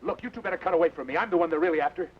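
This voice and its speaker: An actor playing a hunted outlaw leader in an old radio drama, with a brisk, commanding manner. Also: male voice, a little high, slightly rough. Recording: muffled old radio broadcast with hiss.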